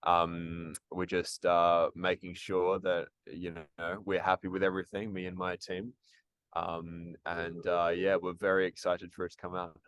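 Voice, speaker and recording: Very deep, flat-voiced young man. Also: measured pace, clean tone, no echo, lo-fi sound. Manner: hesitant, many ums and restarts. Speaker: actor and musician, interview guest. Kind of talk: casual interview answers.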